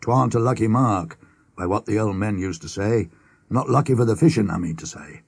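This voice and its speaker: A man's voice: lowish voice, moderate pace, vocal fry, husky, dry recording. A man voicing plain-spoken, superstitious villagers in an audiobook ghost story, with a folksy, knowing manner. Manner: folksy, knowing, dialect grammar. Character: rustic Suffolk villagers at the inn, plain-spoken, superstitious old-time locals.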